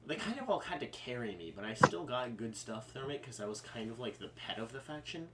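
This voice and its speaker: A man's voice: mid-range voice, very animated, a little fast, a crowd in the background. A young man co-hosting a casual gaming podcast, rambling with lots of fillers. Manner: rambling, filler-heavy, jumps into mock voices. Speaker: podcast co-host. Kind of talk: casual chat.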